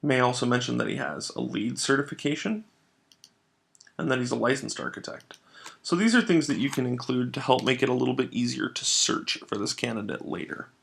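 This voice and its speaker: A man narrating an instructional software tutorial, explaining things step by step in an informal way. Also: mid-range voice, lively intonation, brisk, creaky. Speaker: software trainer.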